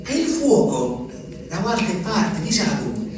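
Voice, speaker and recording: An actor playing a simple, haunted olive farmer, telling his story haltingly and intimately in a radio drama monologue. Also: high-pitched, very animated, background noise, heavily acted. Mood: agitated wonder. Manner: halting, repetitive, intimate storytelling addressed to a listener. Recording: echoey, distant, music under the voice. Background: music.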